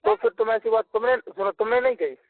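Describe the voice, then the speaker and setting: A man's voice: very high-pitched, fast talker, no echo. A young man with a rural western Uttar Pradesh accent, arguing defensively on a phone call and insisting on being heard. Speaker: caller in a family dispute. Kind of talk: heated phone argument. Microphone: telephone. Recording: narrowband phone call recording.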